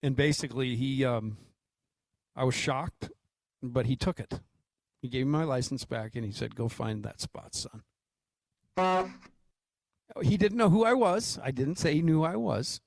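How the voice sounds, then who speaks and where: An American man co-hosting sports radio, telling joking anecdotes in a casual, unhurried way. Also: medium pitch, animated, creaky, darkish tone, no echo. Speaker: sports radio co-host and coach.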